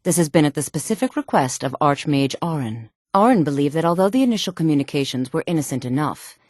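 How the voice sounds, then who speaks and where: An actress playing a sultry, composed female mage in a fantasy video game, speaking in formal, deliberate phrases. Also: deep voice, animated, darkish tone, dry recording, clean studio sound. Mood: formal, reporting. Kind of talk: scripted fantasy game dialogue.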